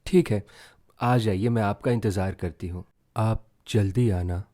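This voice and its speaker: A man's voice: lowish voice, unhurried, warm tone, close to an everyday voice. Man voicing an anxious, devout young village wife. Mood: shy, tender. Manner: fretful, pleading, deferential.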